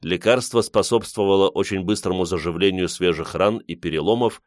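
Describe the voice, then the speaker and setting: A man with a low voice reads literary prose as an audiobook narrator. The recording is close and dry, and the delivery is scripted first-person storytelling. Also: brisk, dry recording.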